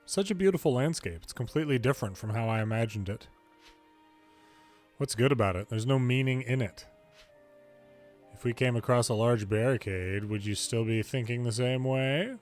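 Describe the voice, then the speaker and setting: A man's voice: mid-range voice, normal speed, a little mellow. Man with a mid-range voice for reading game cutscene dialogue with light character acting, faint music underneath. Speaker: fan reader of game dialogue. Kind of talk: reading game story dialogue aloud in English.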